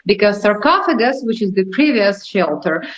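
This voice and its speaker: A woman's voice: medium pitch, animated, normal speed. A woman from Ukraine with a Ukrainian accent, answering interview questions as a tour guide in conversational non-native English that drops articles. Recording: roomy echo, muffled lo-fi, faint noise.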